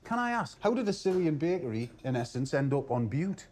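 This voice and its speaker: A man's voice: a little high, big pitch swings, brisk, darkish tone. A man co-presenting a TV food show, effusive and enthusiastic in casual chat.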